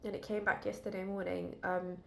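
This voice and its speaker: A woman reviewing a fragrance in a casual, chatty way, her voice still lower and duller than usual as it recovers. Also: flat delivery, a little fast, creaky, a bit bright. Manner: chatty, talks straight to viewers. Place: at her desk indoors. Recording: echoey room.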